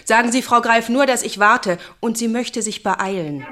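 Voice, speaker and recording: An actress playing a self-assured, warm-hearted young typist in a German radio play, speaking directly and decisively. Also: female voice, fairly high pitch, moderate pace. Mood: firm, imperious. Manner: direct, decisive, clipped sentences. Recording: music behind the voice.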